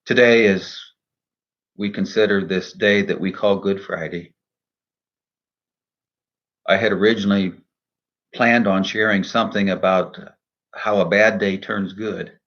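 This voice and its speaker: A man speaking in a measured, earnest, deliberate way, in the style of a devotional poetry reading and reflection. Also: on the low side, steady tone, fairly bright tone, dull audio. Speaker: minister and poet reading own poem.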